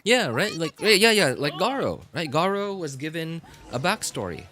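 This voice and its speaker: A man live streaming casual, unscripted commentary, speaking haltingly and repeating phrases for emphasis. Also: fairly high pitch, big pitch swings, pushed and bright.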